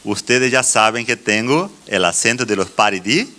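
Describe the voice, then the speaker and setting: A middle-aged man with a Brazilian Portuguese accent giving a church conference address in halting phrases with deliberate pauses. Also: lowish voice, expressive, noisy background. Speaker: conference speaker, children's ministry teacher.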